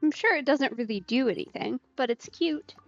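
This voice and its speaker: A woman's voice: high-pitched, animated, moderate pace. A woman giving casual, playful let's play commentary with a wry manner. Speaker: game streamer. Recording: dry, slightly dull microphone. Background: game music.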